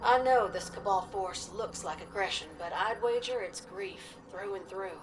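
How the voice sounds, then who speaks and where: A woman's voice: mid-range voice, moderate pace, noisy background, close to an everyday voice. A woman playing a gritty, plainspoken pilot scout, giving a report in a video game. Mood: somber, reflective. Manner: folksy, plainspoken. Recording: loud music behind the voice.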